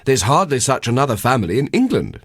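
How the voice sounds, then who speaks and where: Man as an elderly, bookish country parson, pitched up. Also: very animated, husky, no echo, studio quality. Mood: measured, informative. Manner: precise, bookish, measured. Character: elderly learned country parson, dry and condescending.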